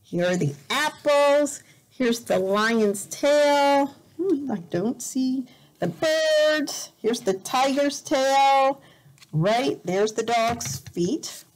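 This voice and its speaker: Very slow, animated woman reading to children. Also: high-pitched, low-quality recording. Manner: animated, deliberate, repeats words simply for learners. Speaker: volunteer teacher reading a picture book to young learners. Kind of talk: slow, expressive children's read-aloud with simple explanations. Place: indoor room at home.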